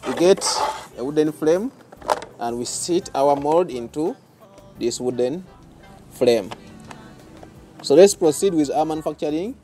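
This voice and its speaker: A man's voice: on the high side, expressive, a little slow, long pauses, warm tone, soft background music. A man in his thirties with a Ugandan accent, teaching a soap-making safety class outdoors and explaining each step. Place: outdoors by a metal gate.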